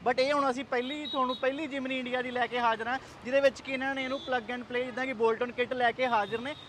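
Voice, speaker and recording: A man's voice: very high-pitched, fairly even intonation, fast talker. A man with a Punjabi accent hosting a chatty, casual car vlog walkaround, often echoing what is said to him. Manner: chatty, echoes the other speaker's phrases. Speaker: car vlogger and host. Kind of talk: casual product walkaround interview. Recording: faint outdoor traffic noise.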